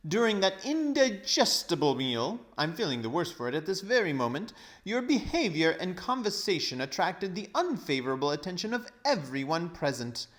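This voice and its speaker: A man reading a storybook, voicing a pompous, cowardly older gentleman who fusses wordily in theatrical outrage. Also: high-pitched, very animated, moderate pace, hardly any pauses, voice pushed up. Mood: indignant, aggrieved. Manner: fussy, wordy, theatrical outrage. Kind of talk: storybook character voice.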